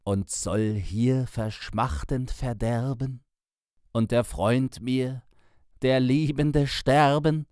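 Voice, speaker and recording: Man playing desperate loyal hero, impassioned pleading, declamatory outcries, raised pitch, ballad recital. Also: steady tone, slow, darkish tone, no room sound, clean studio sound, lightly acted. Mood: anguished.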